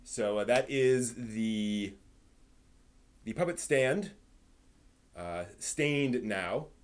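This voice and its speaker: A man's voice: medium pitch, very slow, smooth. Conversational man hosting a live stream. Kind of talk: anime news rundown and casual chat to viewers.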